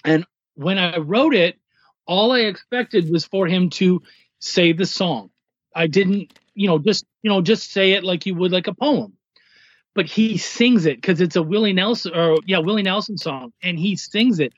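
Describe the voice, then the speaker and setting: A man's voice: sits high, normal speed, no room sound, highs cut off. A man talking casually and enthusiastically as a writer guesting on a podcast. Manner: enthusiastic, informal. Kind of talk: casual chat.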